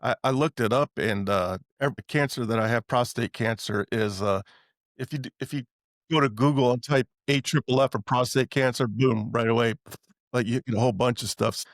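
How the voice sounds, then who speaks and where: A man's voice: mid-range voice, moderate pace, no room sound, clean studio sound. A man hosting a podcast, chatting in a plain-spoken, informal conversational way. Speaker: podcast host, veteran. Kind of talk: casual conversational interview.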